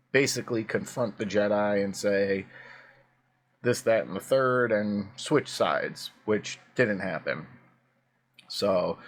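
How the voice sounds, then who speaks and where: A man hosting a casual video reaction, his voice hoarse and prone to cracking, talking in a chatty, rambling way with plenty of fillers. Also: fairly low pitch. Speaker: YouTube reaction host.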